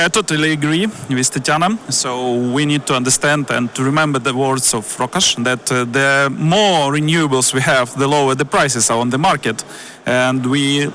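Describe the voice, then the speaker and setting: A man with a Ukrainian accent moderates a panel in a loose, informal way. His pitch sits in an ordinary middle range. A faint noise runs underneath. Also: bright, forceful tone. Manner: informal, with casual filler words.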